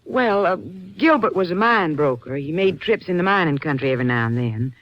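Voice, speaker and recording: An actress playing a composed, subdued widow in an old-time radio drama, giving measured, earnest answers. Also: female voice, deep voice, animated, highs cut off. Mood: measured recollection.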